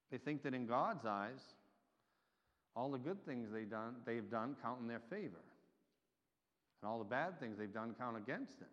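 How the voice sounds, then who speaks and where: A man preaches with emphatic swells and drops in loudness. His voice rings with a long reverb in a church hall, and the recording sounds dull and muffled. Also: medium pitch. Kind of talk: sermon.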